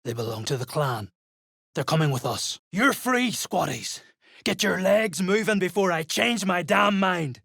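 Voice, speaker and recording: An actor playing a coarse, greedy duergar mercenary in a fantasy video game, speaking gruffly in rough, sweary slang. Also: mid-range voice, expressive, measured pace, a bit bright, dry recording, clean studio sound, heavily acted. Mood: grudging, threatening. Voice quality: gravelly, husky.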